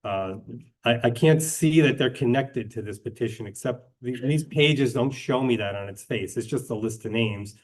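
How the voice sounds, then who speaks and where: A man presiding as hearing officer at a public hearing, speaking in a measured, procedural way with frequent hesitations. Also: medium pitch.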